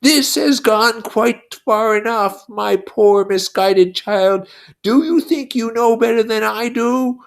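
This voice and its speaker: Taunting demonic pig's head, pitched high. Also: vocal fry. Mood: angry, threatening. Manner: taunting, schoolmasterly, drawn-out condescending delivery. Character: menacing, mocking demonic pig's head, the beast.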